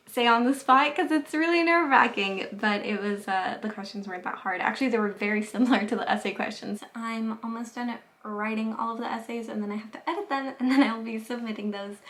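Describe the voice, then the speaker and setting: A young woman talking casually into her phone in a vlog diary, chatty, with lots of "like" fillers, nervous and excited. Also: a little high. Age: young adult. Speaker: vlogger applying to grad school.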